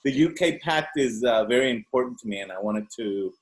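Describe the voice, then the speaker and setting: A man's voice: mid-range voice, rough recording. A man in his fifties from Venezuela, a native Spanish speaker, asking a long, rambling question on a video call. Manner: rambling, halting with many fillers and restarts. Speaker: audience member asking a question.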